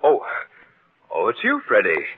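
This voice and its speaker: A man's voice: on the low side, wildly swooping pitch, unhurried, husky, full character voice. An actor playing a nervous, faltering blackmailer cornered by his victim in a 1940s radio drama. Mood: startled, uneasy. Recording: tinny old radio broadcast.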